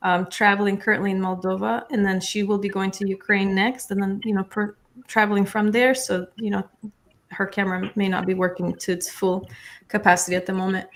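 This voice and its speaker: A woman's voice: medium pitch, little pitch movement, quick pace. A woman hosting and interpreting an informal online meeting, talking in a chatty, appreciative way. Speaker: host and interpreter.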